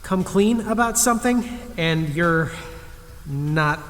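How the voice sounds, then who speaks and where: An awkward, honest everyman, high and jumpy in pitch, in a reverberant space. He is anxious to please, halting between words and then blurting. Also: expressive, slight background noise. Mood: nervous, confessing. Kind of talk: audio drama acting. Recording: long echoey reverb, music underneath.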